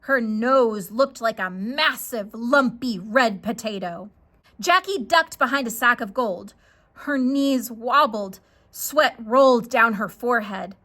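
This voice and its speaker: A woman narrating a scripted children's story in a dramatic, expressive way. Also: fairly high pitch, slow.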